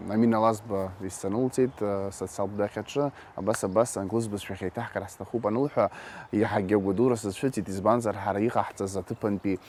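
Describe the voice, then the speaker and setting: Low-voiced man in an interview, slight noise. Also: brisk. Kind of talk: interview remarks. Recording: steady background noise.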